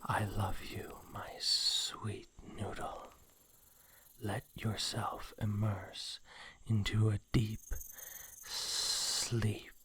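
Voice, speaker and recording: A man's voice: lowish voice, bright, forceful tone, heavily acted. A man voicing a possessive, seductive naga serpent hypnotist in a fan audio drama, coaxing his lover with a theatrical villain purr. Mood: hushed, tender, triumphant. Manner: slow, coaxing, theatrical villain purr, often near whispering.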